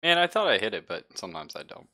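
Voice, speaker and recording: A man's voice: on the low side, very animated, fast talker, pushed and bright. A man casually chatting and narrating as game master of a live-streamed tabletop roleplaying game.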